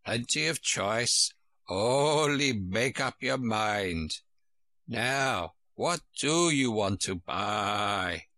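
A strange old sheep who keeps a shop speaks slowly and flatly, voiced by a man. Her words come clipped and businesslike, with no warmth spared. The storybook reading is dry and close, with a bright tone. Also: on the low side, no room sound, full character voice. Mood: curt. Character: old female sheep shopkeeper, curt and odd.

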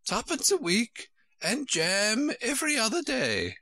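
Man putting on a flustered old queen, high fretful wail, storybook, bright dry voice. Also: huge pitch swings, a little slow, no echo, heavily acted.

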